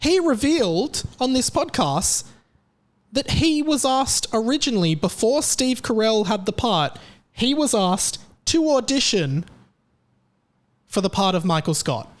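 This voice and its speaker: A man hosting a podcast, talking in a chatty, excitable way with lively jumps in his voice. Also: extremely high pitch, unhurried. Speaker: podcast host. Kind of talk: casual chat.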